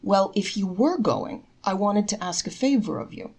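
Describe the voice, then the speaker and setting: Woman playing kindly old charity man, gentle, coaxing, gently sly, earnest, folktale storybook. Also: medium pitch, sing-song, normal speed, creaky, slightly rough, full character voice. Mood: coaxing, mild. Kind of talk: storybook character voice in a read-aloud folktale.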